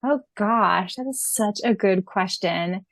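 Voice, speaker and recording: A woman's voice: medium pitch, slow, fairly bright tone, no echo. A woman with an American accent co-hosting a podcast in a casual, conversational style. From United States. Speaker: podcast co-host.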